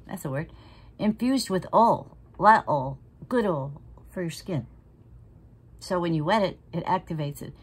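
A woman's voice: fairly low pitch, slight background noise. A woman, chatting frankly and feistily while doing her makeup at home, close to the camera, sometimes dropping to a half-whisper. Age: 60s. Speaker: makeup vlogger. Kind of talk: casual makeup tutorial chat. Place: living room at home, indoors. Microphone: camera close in front.

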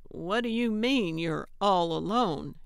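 A woman's voice: on the low side, animated, takes it slowly, gravelly, smooth, dry recording, clean studio sound, exaggerated voice. A woman voicing a brusque, cranky older woman in an audiobook, snappish and blunt but well-meaning. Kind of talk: audiobook character acting.

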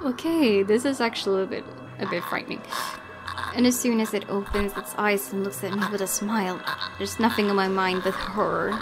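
A woman's voice: mid-range voice, fairly even intonation, fairly quick, over loud music. A woman let's player reading horror game narration aloud, with casual reactions of her own.

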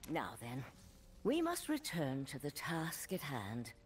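Solemn wise priestess for a fantasy game, low voice, real slow and formal. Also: female voice, huge pitch swings, slight background noise, heavily acted. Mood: solemn, guiding. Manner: stately, formal. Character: wise, solemn high priestess, mentor to a hero. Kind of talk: video game character acting.

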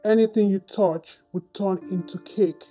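A woman voicing a solemn male angel in a children's story, making measured pronouncements. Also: mid-range voice, fairly even intonation, dark tone, faint music behind, voice pushed down, lightly acted. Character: solemn male angel granting a magic power. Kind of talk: amateur storybook character voice.

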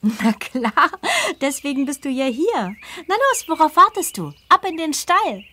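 Upbeat teen horse girl in a kids game, highish lively voice, bit of noise. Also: measured pace, exaggerated voice. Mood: upbeat, encouraging. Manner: upbeat, encouraging. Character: friendly, confident teenage farm girl who loves horses. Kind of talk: children's game dialogue acting.

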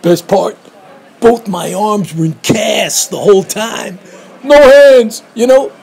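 An actor playing a goofy, boastful male fast-food manager in an audio play, talking in slacker slang. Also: sits high, animated, gravelly, darkish tone, husky, full character voice. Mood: bragging. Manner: bragging, laid-back slang.

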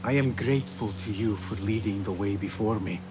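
A man's voice: lowish voice, brisk, music in the background, close to an everyday voice. A man playing an earnest, respectful young assassin initiate in a video game, speaking formally. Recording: game ambience noise behind.

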